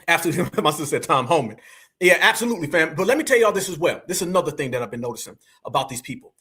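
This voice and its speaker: A man's voice: a little high, very animated, racing, pushed and bright. An American man hosting a live opinion stream, speaking colloquially and emphatically to his audience, with repetition and rhetorical questions. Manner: colloquial, addresses listeners as family. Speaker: livestream host.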